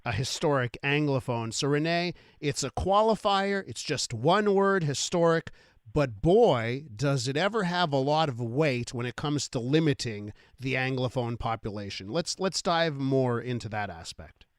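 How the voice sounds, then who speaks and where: Casting: male radio host, mid-pitched, emphatic questioning, close studio mic. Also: expressive, measured pace, dry recording. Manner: leading the guest with emphatic questions. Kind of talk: radio interview.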